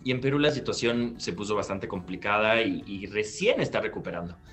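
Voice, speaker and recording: Animated man telling his story. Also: on the low side, brisk, faint music behind. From Peru. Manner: animated, gestures while recounting, restarts phrases. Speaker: guest actor. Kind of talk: storytelling interview answer. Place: bright living room at home. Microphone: laptop webcam on video call.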